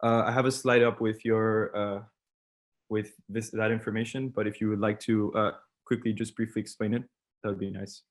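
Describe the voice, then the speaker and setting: A man's voice: lowish voice, level tone, normal speed. Young male host of an online event, hesitant delivery, lots of fillers. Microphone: video call.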